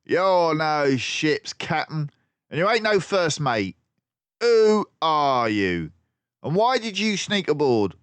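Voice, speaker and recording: Storybook villain, voiced by a male reader: rough, taunting mouse crook, raised pitch, swooping delivery. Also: big pitch swings, slow pace, dry recording. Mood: threatening. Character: menacing, jeering male mouse gangster villain.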